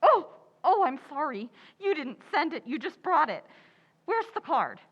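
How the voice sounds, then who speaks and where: An actress playing a flustered, scatterbrained housewife in an old-time radio comedy, exclamatory and melodramatic. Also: female voice, sits high, big pitch swings, a little slow, highs cut off. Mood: relieved, gushing.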